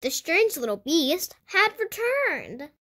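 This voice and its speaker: A woman reading a picture book aloud to children in an animated storytelling style. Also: very high voice, takes it slowly. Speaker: children's storybook reader and host. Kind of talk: animated read-aloud narration for kids.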